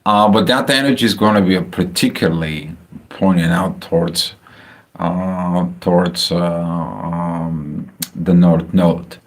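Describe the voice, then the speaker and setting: A man with a Bulgarian accent talking casually about astrology on a livestream, halting with many filler sounds and restarts. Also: low-pitched. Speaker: astrology channel host. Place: home, house in Spain.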